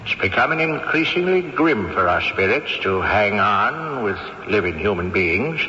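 An actor playing a weary old male ghost in a 1970s radio drama, talking in a slow, sighing, gloomy way over a dull, lo-fi radio recording. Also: fairly low pitch, very animated, sharp tone, long reverb tail, a little hiss or hum, exaggerated voice.

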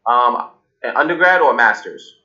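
A man's voice: mid-range voice, animated, moderate pace, bright, forceful tone, highs cut off. A young man from Cameroon, a guest in a casual interview, talking loosely with many fillers and restarts. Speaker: guest, app founder.

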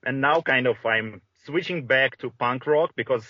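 A man's voice: medium pitch, normal speed, bright, forceful tone, a little hiss or hum. A man from Moscow, a band guest, steadily telling his story in a casual interview with some fillers. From Moscow, Russia. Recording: dry muffled recording.

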